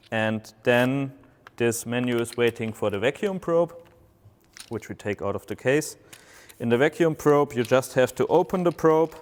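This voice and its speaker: A man with German as his first language, presenting a product demonstration slowly and haltingly, with many filler sounds. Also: mid-range voice, in a large hall.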